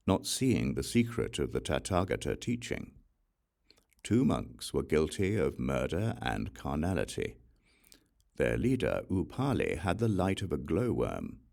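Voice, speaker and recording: A man reading an audiobook of sacred verse in a solemn, deliberate recitation with measured phrasing and pauses. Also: extremely low pitch, creaky, a little mellow. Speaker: audiobook reader.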